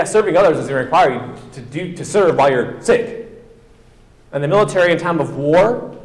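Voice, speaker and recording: A man preaching a sermon with emphatic delivery, acting out quoted voices as he goes. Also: fairly high pitch. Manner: emphatic, acts out quoted voices, rising to near-shouting. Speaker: pastor. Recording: echoey hall with long reverb.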